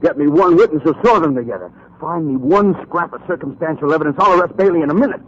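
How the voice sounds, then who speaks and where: Husky, exasperated American police lieutenant. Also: male voice, fairly high pitch, expressive, quick pace. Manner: blunt, argumentative. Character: exasperated, cautious police lieutenant unwilling to act. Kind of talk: 1940s radio crime drama acting. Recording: muffled 1940s radio recording.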